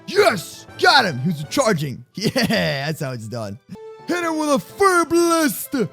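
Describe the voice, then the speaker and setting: A very high-voiced young man plays through a kids' gaming roleplay. His delivery is bright and animated, full of exclamations and slang. Faint music sits behind him. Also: slow, vocal fry. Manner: exclamatory, slangy, often shouting, playful. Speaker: host of a gaming roleplay channel. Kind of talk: excitable children's gaming roleplay commentary.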